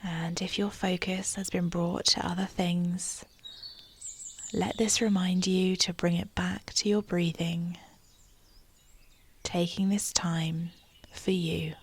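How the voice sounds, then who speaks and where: A woman leading a guided meditation in a soft, breathy, near-whispered voice, calm and soothing, with measured phrasing and long pauses. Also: on the low side, slight background noise.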